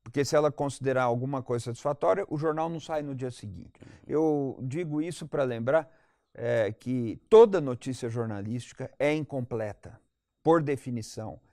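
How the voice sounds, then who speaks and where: A man's voice: mid-range voice, normal speed, a little mellow, no room sound, studio quality. A Brazilian man giving analytical commentary as a guest in a panel debate, articulate and explanatory, repeating points for emphasis.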